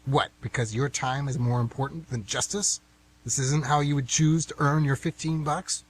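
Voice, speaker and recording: A man playing an indignant, civic-minded older gentleman on jury duty in an amateur radio play, with a lecturing manner. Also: medium pitch, fairly even intonation, normal speed, lightly acted, low-quality recording. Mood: righteous. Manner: lecturing, righteous. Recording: amateur radio, faint background hum.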